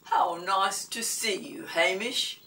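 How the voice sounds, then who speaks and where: Genteel storybook gentleman acted by a woman, hearty and loud, measured and formal, in an echoey room. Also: medium pitch, creaky, slight background noise, exaggerated voice. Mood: hearty greeting. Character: Sir Reginald, a hearty, genteel marching gentleman. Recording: roomy echo, distant microphone.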